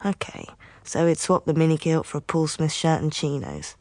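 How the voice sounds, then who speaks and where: A wry english lady private eye, lowish voice, dry and sarky, radio play. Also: big pitch swings, moderate pace, vocal fry, a little mellow, heavily acted. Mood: confiding, wry. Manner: dry, sardonic. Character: wry, tough, sharp-tongued female private investigator. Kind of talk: radio drama acting with first-person narration.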